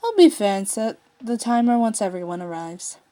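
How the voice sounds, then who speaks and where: A woman's voice: fairly high pitch, very animated, moderate pace, mellow. A woman plays a smug alien prince in a fan fiction story. He taunts and teases, stretching his words as if he owns the room. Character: smug, teasing male alien prince villain.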